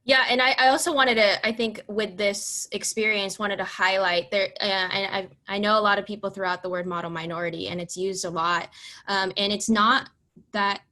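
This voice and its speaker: A woman's voice: medium pitch, steady tone, normal speed, bright, forceful tone. A woman moderating a town hall discussion, posing questions to panelists with friendly restarts.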